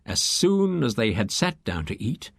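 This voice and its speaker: A man reading a story aloud as an audiobook narrator, with expressive, clear phrasing. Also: medium pitch, a little slow, no echo, clean studio sound.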